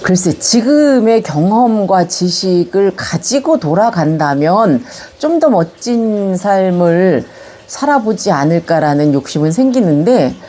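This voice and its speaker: Older Korean woman reminiscing with a lively pitch. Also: measured pace. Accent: Chungcheong-tinged Korean. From Korea, Yongin area of Gyeonggi. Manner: calm, reminiscing, gently animated when recalling childhood. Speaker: elderly interviewee recording a video autobiography. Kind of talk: reflective life-story interview.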